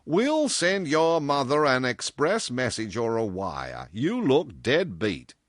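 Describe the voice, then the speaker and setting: A man's voice: mid-range voice, huge pitch swings, slow, dry recording, studio quality, voice pushed up. A man voicing a brusque, commanding businessman who speaks in blunt, emphatic orders but means kindly.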